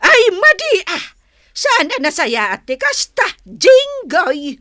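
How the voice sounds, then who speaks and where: Older Ilocano auntie storekeeper, emphatic admonisher, slow delivery, bright voice, comedy radio drama. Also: female voice, very high-pitched, huge pitch swings, dry recording, clean studio sound, full character voice. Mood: exasperated, lecturing. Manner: exclaiming, drawn-out, emphatic admonishing. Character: Nana Mila, older woman storekeeper, scolding and advising.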